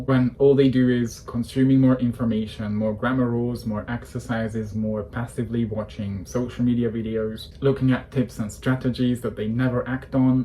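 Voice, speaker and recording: Man, 30s, English coach, passionate, opinionated, warm voice. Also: mid-range voice, slight background noise, rough recording. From Hungary. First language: Hungarian. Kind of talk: direct-to-camera talk.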